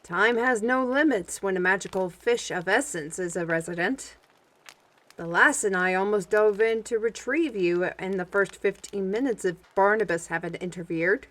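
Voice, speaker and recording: Cheeky adventurer female actor, medium pitch, excitable, wordy, teasing, folksy, for fantasy audio drama. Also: normal speed, natural voice. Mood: wry.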